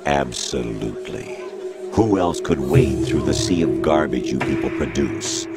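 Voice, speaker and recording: Very deep, cold machine ruler. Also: male voice. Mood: cold lecturing. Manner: cold, measured, condescending lecturing. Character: cold male machine mind lecturing, mature. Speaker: game character. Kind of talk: video game cutscene voice acting. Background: loud dramatic music score.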